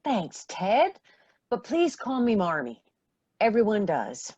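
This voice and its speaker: A woman's voice: mid-range voice, sing-song, slow, darkish tone, breathy edge, no echo, full character voice. A woman acting a caring, middle-aged mother in a radio play. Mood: warm, composed. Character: caring, generous mother, a singer struggling with money.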